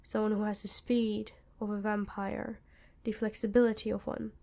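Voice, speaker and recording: A woman playing an ancient, motherly vampire queen in an ASMR roleplay, speaking formally and tenderly in measured phrases with pauses. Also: medium pitch, flat delivery, creaky, darkish tone, lightly acted. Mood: proud, tender. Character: centuries-old vampire queen, motherly, regal, protective and worried.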